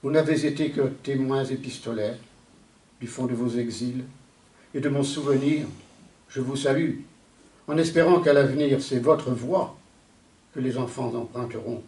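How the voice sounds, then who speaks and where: A man recites poetry in a solemn, declamatory way, in measured phrases with deliberate pauses. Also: medium pitch, steady tone, vocal fry, darkish tone. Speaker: poet reciting a poem. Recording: echoey, distant from the mic.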